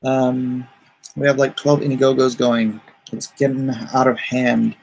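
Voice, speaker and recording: A man's voice: mid-range voice, flat delivery, unhurried, creaky, noisy background. A man hosting a livestream, chatting casually and reading viewer comments aloud in a rambling, joking way. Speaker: comic artist hosting a livestream.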